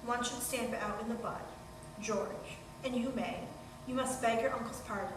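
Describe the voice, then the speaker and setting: A sanctimonious, meddling aunt, played by a woman on stage, declaims in a grand moralizing way. Her voice sits fairly high and comes from far off in a very echoey, noisy hall. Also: normal speed, close to an everyday voice. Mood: smug, conciliatory. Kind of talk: staged readers theater comedy. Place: large room or hall, distant microphone.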